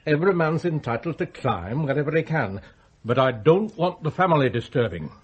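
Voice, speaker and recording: Radio drama older English tycoon: highish husky voice, animated, lordly, complacent, given to pontificating. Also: male voice, a little fast, lo-fi sound. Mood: pompous. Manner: pompous, pontificating. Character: lordly, rich, complacent older male magnate and master. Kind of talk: radio play acting.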